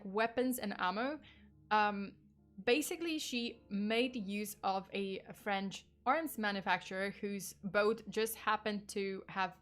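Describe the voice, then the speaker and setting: A woman's voice: medium pitch, fairly bright tone. A young woman with a Polish accent, her first language Polish, chatting casually on a true crime stream with many fillers, laughs and swearing. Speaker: vtuber streamer.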